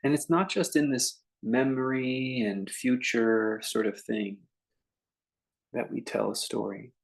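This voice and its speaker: A man guiding a meditation in an informal, conversational way, speaking in short phrases with unhurried pauses between them. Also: mid-range voice, gravelly, rough recording. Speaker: meditation teacher leading a guided meditation. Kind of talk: unscripted guided meditation talk.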